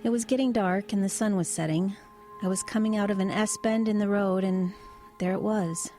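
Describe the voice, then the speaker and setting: A woman's voice: medium pitch, steady tone, normal speed, dark tone, soft background music, close to an everyday voice. An actress playing a blunt, nosy small-town woman in an audio drama, with a pressing, plain-spoken manner. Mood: hushed, reflective. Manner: pressing, plain-spoken, exasperated folksy banter.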